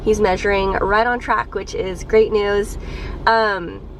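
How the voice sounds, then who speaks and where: A woman's voice: mid-range voice, steady tone, slow, slight background noise, rough recording. A young woman vlogger with a cold and a stuffed-up nose, chatting casually to her camera from a parked car, with lots of ums and likes. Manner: chatty, many ums and likes, laughs a little. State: congested from a cold.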